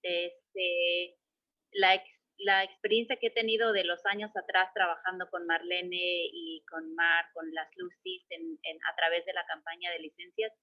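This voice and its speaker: A woman's voice: on the low side, flat delivery, measured pace, dull audio. Hesitant middle-aged Mexican-born woman. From State of Mexico. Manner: hesitant, many fillers and restarts. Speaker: guest. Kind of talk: conversational interview answers.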